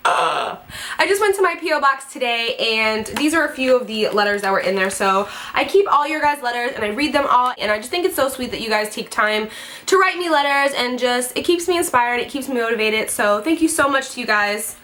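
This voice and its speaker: A woman's voice: a little high, animated, fairly quick, sharp tone. Bubbly, chatty young woman vlogger. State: congested from a head cold. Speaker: beauty vlogger. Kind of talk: casual chat to camera.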